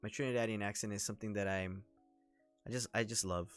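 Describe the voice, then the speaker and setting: A man's voice: fairly low pitch, steady tone, fast talker, clean tone, faint music behind. Chatty man rambling on a livestream. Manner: rambling, chatty, full of fillers. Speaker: livestream host and scam baiter. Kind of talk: casual livestream chat.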